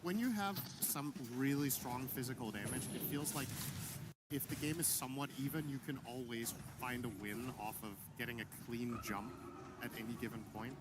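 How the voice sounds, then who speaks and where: A man's voice: medium pitch, normal speed, very noisy. A man giving analytical color commentary on an esports match, thinking aloud as he explains the play. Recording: commentary over loud game music.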